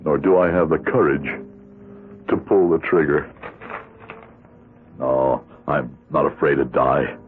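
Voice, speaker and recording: An actor in a 1970s radio drama playing a despairing, hesitant married accountant deep in gambling debt. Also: male voice, fairly even intonation, phone-quality sound, slight background noise. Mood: resigned despair. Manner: hesitant, halting, anguished. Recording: band-limited old radio broadcast, music behind.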